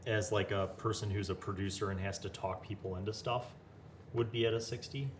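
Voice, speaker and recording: Male host, low voice, casual and inquisitive, talks things through as he goes. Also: steady tone, slight background noise. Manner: thinks aloud, asks many quick questions. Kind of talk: casual chat about building a tabletop game character.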